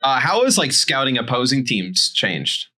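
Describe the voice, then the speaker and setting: A man's voice: medium pitch, sing-song, normal speed, pushed and bright. A man who coaches esports and used to play professionally, talking casually in a round-table discussion. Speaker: esports coach and former pro player.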